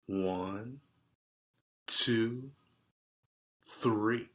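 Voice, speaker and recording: A man's voice: lowish voice, extremely slow, pushed and bright, heavily acted. A man voicing friendly, eager young schoolgirls in a storybook read-aloud. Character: two friendly young schoolgirls making up over lunch. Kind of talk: storybook character voices.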